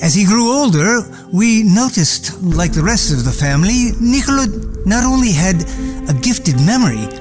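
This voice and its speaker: An actor playing a parent fondly recalling a gifted child in animated radio drama narration. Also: mid-range voice, normal speed, natural voice. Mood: admiring. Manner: reminiscing, animated. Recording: faint music behind the voice. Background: faint music.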